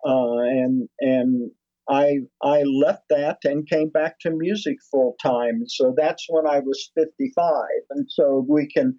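A man's voice: medium pitch, darkish tone, dry recording, highs cut off. A man in his seventies, a musician and producer, telling his story in a casual interview with many restarts and fillers. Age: 70s.

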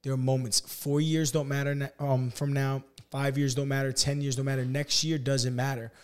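An earnest man hosting a podcast, talking in an easy, heartfelt way. His voice is a little hoarse and sits in a middle register. He quickens when he gets caught up in a story. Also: mid-range voice, little pitch movement. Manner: earnest, speeds up when telling stories. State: slightly hoarse. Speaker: podcast host. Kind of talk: casual podcast chat.

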